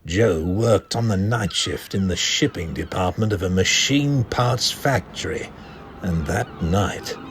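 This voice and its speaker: Deep, gravelly, solemn asylum doctor played by a man. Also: measured pace, slight background noise. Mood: solemn narrating. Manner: solemn, ominous. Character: grave male asylum doctor telling a grim case. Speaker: doctor and narrator. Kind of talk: dramatic horror storytelling.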